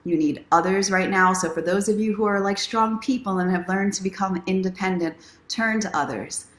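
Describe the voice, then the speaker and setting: A woman's voice: mid-range voice, normal speed, a bit bright. A woman hosting a casual livestream, encouraging and speaking straight to her viewers like a self-help coach. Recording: roomy, echoing sound.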